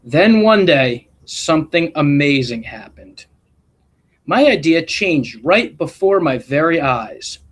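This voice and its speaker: Man, slightly high voice, very animated intonation, deliberate storybook reading, principal addressing children. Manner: expressive, deliberate storytelling delivery. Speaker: school principal reading to students. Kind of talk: live picture-book read-aloud with asides.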